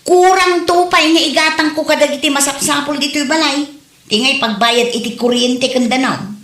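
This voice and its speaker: A woman's voice: high voice, steady tone, normal speed, fairly bright tone. A woman with an Ilocano accent playing a busy, easily exasperated working wife in an audio comedy drama. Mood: irritated. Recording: echoey room.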